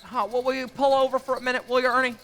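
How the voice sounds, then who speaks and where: Young actor as a flustered banker on a theater stage; high-pitched, stammering. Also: male voice, little pitch movement, pushed and bright, a little hiss or hum, exaggerated voice. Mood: alarmed. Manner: stammering, hurried. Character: eager young male small-town banker, flustered. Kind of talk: live stage radio play. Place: theater stage before an audience. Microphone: vintage-style stage microphone. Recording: long hall reverb.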